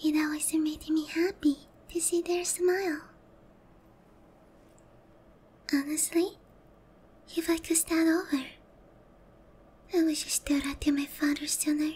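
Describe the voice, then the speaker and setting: A gentle young demon queen voiced by a woman speaks in a very high, delicate voice that often sinks into a breathy whisper. She is slow and hesitant, leaving room between phrases. The tone is tender and remorseful, in the style of an intimate ASMR roleplay. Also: level tone. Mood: wistful.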